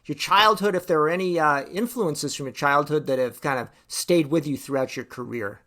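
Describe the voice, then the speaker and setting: A man's voice: fairly high pitch, moderate pace, bright, forceful tone. A man hosting a business podcast, asking friendly, rambling questions with restarts. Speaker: podcast host. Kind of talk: interview hosting.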